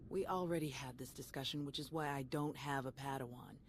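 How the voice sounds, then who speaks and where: A woman's voice: a bass voice, a little fast. Battle-worn, wry Jedi woman: blunt, sarcastic game voice over light ambient noise. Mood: reluctant, irritated. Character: jaded, sardonic female Jedi warrior. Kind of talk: cinematic video game acting.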